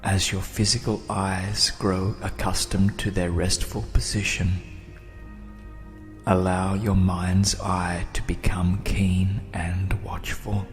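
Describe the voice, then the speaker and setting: A man with a low, even voice narrates a guided meditation over faint music. He speaks very slowly and soothingly, leaving long pauses. His phrases settle into soft, breathy endings.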